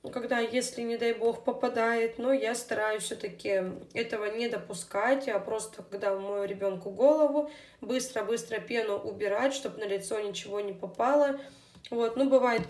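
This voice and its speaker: A woman's voice: a little high, a little mellow. Chatty woman in an echoey room. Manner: chatty, unhurried, with fillers. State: voice somewhat unwell. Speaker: beauty vlogger. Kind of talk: casual product review. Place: indoors at a table, hands on camera.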